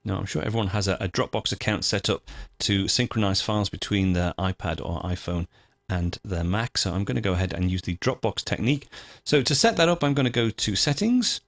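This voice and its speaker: A man hosting a software tutorial, explaining things step by step in an informal, methodical, conversational way. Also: fairly low pitch, a little fast, no echo, clean studio sound. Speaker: host of a software tutorial show.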